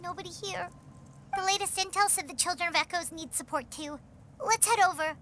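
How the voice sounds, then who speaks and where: A woman's voice: very high voice, fairly quick, noisy background. A woman voicing a small, eager, childlike fairy companion in a video game, with a cute, exclamatory delivery. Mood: concerned. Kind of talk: video game character voice acting.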